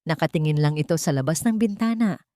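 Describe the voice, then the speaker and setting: A woman's voice: deep voice, animated, quick pace, mellow, dry recording, studio quality. A Filipino woman reading a scripted dramatic story as its narrator.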